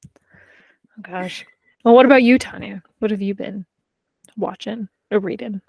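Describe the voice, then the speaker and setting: A woman's voice: mid-range voice, unhurried, creaky, darkish tone, no room sound, poor audio. A woman co-hosting a podcast in casual, informal chat, her sentences peppered with "like". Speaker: podcast co-host. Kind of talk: casual chat about books and TV.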